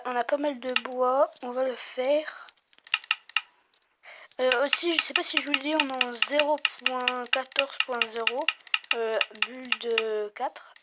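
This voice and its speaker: Woman w southern french accent chatting thru her game, high voice, lots of hiss on a glitchy phone mic. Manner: rambling, chatty, many hesitations. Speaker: let's play host. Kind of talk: casual gameplay commentary. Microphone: phone microphone.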